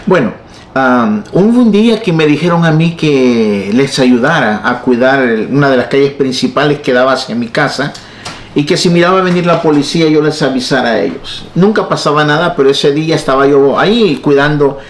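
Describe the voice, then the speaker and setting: A man's voice: on the high side, expressive, normal speed, slight background noise. Salvadoran pastor, 60s, telling his testimony, cracks jokes about himself, roomy echo. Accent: Salvadoran Spanish. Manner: jokey, laughs at his own jokes. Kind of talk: personal testimony in an interview.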